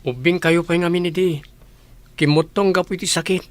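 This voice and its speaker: A woman's voice: on the low side, fairly even intonation, a little fast, no room sound, lightly acted. A woman playing a sensible elder sibling, matter-of-fact, in an Ilocano radio drama. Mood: explaining.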